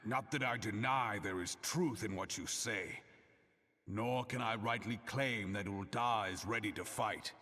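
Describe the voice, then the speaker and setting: Gravelly, forceful war-weary general. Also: male voice, mid-range voice, exaggerated voice. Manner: grave, forceful, theatrical delivery. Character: battle-hardened general, proud, frank and weary. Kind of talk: video game fantasy voice acting. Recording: long cavernous reverb.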